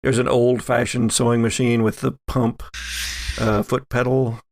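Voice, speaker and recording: A man works as game master, narrating a horror tabletop game with deliberate suspense. His pace is slow and his voice has a fair amount of fry. The sound is dry and close. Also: medium pitch, little pitch movement, a little mellow, dry recording.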